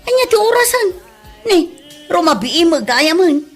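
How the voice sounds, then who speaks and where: A woman's voice: very high-pitched, very animated, a little slow, a bit bright, faint music behind, full character voice. A woman playing a grumpy mother who complains and nags in exasperation, in an Ilocano comedy drama. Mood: irritated. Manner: exasperated, nagging, swooping. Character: grumpy, complaining mother irritated by noisy neighbours.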